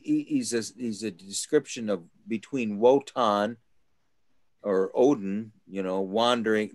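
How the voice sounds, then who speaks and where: A man leading a study group over a video call, asking questions in a halting way with many restarts. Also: lowish voice, dry recording. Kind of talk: casual group discussion.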